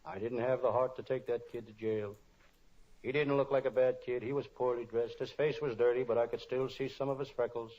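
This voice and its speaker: A wisecracking, kind-hearted private detective, acted by a man with a medium-pitched voice. The style is old radio detective spoof. The recording is dull and lo-fi. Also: fairly even intonation, a little fast, background noise, close to an everyday voice. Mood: gentle, serious.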